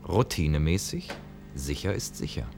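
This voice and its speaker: A man's voice: extremely low pitch, sing-song, moderate pace, creaky, over music, full character voice. A man playing a suspicious starship second-in-command in a science-fiction radio drama, sardonic and officious. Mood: curt.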